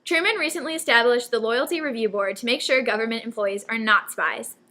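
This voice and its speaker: A woman's voice: a little high, fairly quick, bright, forceful tone. A young woman anchoring a scripted newscast, reading out stories as narration. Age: young adult. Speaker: news anchor.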